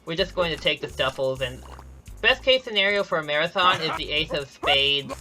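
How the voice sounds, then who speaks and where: A man's voice: fairly high pitch, expressive, moderate pace, poor audio. Man explaining his game run. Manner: explanatory, frequent restarts and self-corrections. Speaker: speedrunner commentating his own run. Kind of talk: live speedrun commentary. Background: game music.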